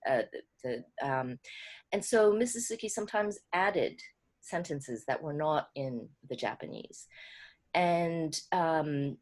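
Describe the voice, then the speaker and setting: A woman, a co-translator, explaining her work at an online book club in a thoughtful, halting way with many restarts. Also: low voice, lively intonation, darkish tone. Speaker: co-translator speaking as a guest.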